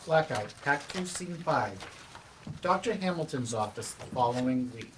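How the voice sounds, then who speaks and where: A woman's voice: rumbling low, animated, normal speed. A woman reading stage directions aloud at a live play reading in a room with some noise.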